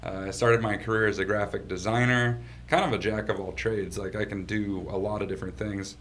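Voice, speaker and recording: Laid-back low-voiced man. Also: steady tone, a little fast, a bit bright, a little hiss or hum. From Washington state, US. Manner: laid-back, laughs easily. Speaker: company founder, guest. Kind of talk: casual storytelling. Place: his office.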